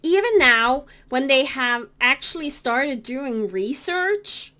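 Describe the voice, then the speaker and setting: A woman with a Norwegian accent, a native Norwegian speaker talking in English, explaining things to camera in a halting way with many fillers and self-corrections. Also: fairly high pitch, a bit bright. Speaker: cross-stitch vlogger. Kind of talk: casual explanatory talk to camera.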